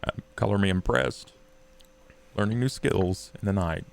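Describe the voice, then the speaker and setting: A man playing a grave, courtly male vampire in a tabletop game, gravelly and formal, speaking in measured, deliberate sentences. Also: on the low side. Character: grave, courtly male vampire, dryly self-deprecating schemer. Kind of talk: tabletop roleplay in character.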